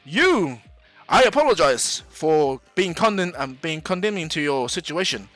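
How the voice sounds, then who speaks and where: Man putting on a prim, forceful schoolboy stickler. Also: fairly high pitch, sing-song, measured pace, dry recording, soft background music. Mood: formal, apologetic. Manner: scolding, formal, emphatic. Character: prim, indignant teenage male class stickler.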